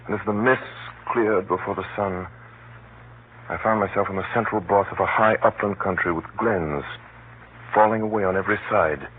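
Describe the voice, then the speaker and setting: An actor playing a hunted man who recounts his flight gravely and tensely, with dramatic measured pauses, in a 1930s radio drama. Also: lowish voice, natural voice. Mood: tense, watchful. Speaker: narrator and lead actor. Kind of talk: 1930s radio drama first-person narration. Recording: scratchy, muffled 1930s radio broadcast.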